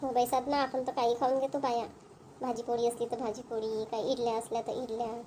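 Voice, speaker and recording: A woman's voice: on the high side, flat delivery, a little fast, warm tone, a little hiss or hum, close to an everyday voice. A woman voicing a homely, chatty village housewife and mother in a cartoon comedy, with a Varhadi Marathi accent. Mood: neighbourly. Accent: Varhadi Marathi of Vidarbha. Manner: chatty, homely, repetitive phrasing. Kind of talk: amateur cartoon comedy dialogue.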